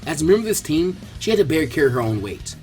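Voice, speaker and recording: A man's voice: a little high, big pitch swings, fast talker, a little mellow, faint music behind. A man narrating fan fiction as a scripted story reading.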